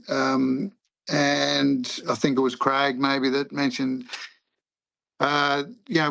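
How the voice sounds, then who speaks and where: Australian man chairing a committee hearing, slow unhurried delivery, flat even tone, halting, lots of "um" and "uh". He speaks in an even, level way and takes his time over each question. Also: mid-range voice, vocal fry, low-quality recording. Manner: halting, with many fillers.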